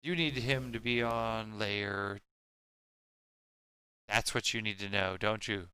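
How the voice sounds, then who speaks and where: A man's voice: on the low side, fairly even intonation, bright, forceful tone, clean tone. A man co-hosting a casual livestream, muttering to himself as he works the tech and reads out viewer messages. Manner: halting, muttering to self while working. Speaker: livestream co-host running the tech. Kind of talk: casual chat, reading viewer messages aloud.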